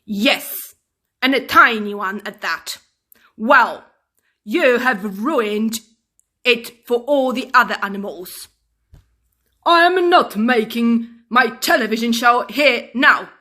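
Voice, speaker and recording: A woman's voice: a little high, lively intonation, takes it slowly, pushed and bright, heavily acted. A woman voicing a furious, pompous man who scolds indignantly in a children's storybook reading. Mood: indignant, scolding.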